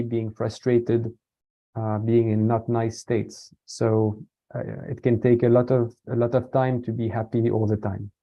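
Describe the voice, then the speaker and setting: A man from France giving a casual online talk, relaxed and hesitant, with many fillers and restarts. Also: medium pitch. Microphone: computer mic on a video call. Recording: dull lo-fi video call audio.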